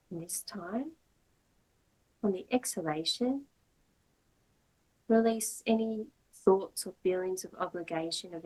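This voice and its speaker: A woman with a lowish, noticeably husky voice leads a guided meditation as a yoga teacher. She speaks in short, measured phrases and leaves long pauses between them. The delivery is gentle and soothing. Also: rough recording.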